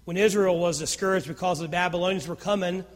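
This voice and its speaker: A high-pitched male pastor delivering a sermon with a naturally loud voice. He swings between fervent, rising emphasis and hushed, near-whispered lines. His voice rings with the long reverb of a big sanctuary. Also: fairly even intonation, fast.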